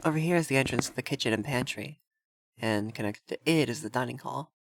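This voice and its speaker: A very dry, intimate recording of a man acting as an effeminate vampire lord in an ASMR roleplay. He is haughty and prim, giving orders to the listener with tsundere fluster. His voice sits at a medium pitch. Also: fairly quick, no room sound, lightly acted. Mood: instructive, composed.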